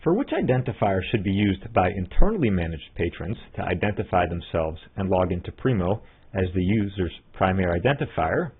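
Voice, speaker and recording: Guy with a low voice walking thru software steps, lively up and down pitch, sounds like a phone line. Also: fairly quick, husky. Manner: instructional, step-by-step. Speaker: software trainer. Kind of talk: scripted tutorial narration. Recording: muffled narrow phone-like line.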